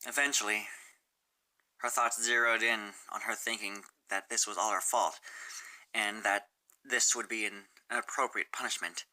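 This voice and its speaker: A woman's voice: rumbling low, fairly even intonation, moderate pace, pushed and bright, low-quality recording. A woman reading fan fiction aloud as a narrator, in a dramatic storytelling style.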